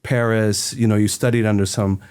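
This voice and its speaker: A man's voice: fairly low pitch, little pitch movement, fairly quick, a little mellow, clean tone. A man co-hosting a podcast, talking casually with frequent fillers. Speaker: podcast co-host. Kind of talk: interview conversation.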